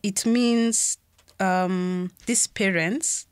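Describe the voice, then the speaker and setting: A woman's voice: mid-range voice, fairly even intonation, drawn-out words, clear, resonant tone, no echo. A young adult woman hosting a podcast, chatty and giggly, often restarting her sentences. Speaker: podcast host. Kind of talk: casual chat.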